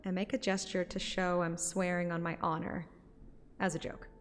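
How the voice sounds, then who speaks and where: A woman's voice: on the low side, little pitch movement, moderate pace, over music. A woman narrating a story like an audiobook, with casual streamer asides. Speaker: streamer reading a game story aloud.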